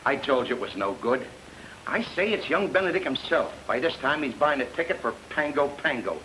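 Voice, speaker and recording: An actor playing an excitable comic sidekick in an old-time radio mystery, theorizing dramatically. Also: male voice, medium pitch, brisk, slight background noise, poor audio. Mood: insistent.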